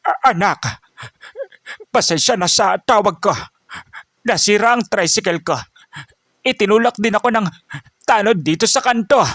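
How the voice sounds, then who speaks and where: A man's voice: sits high, normal speed, long pauses, fairly bright tone, no echo, clean studio sound, voice pushed up. A man voicing a frightened old tricycle driver who stammers and begs. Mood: tearful. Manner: begging, stammering.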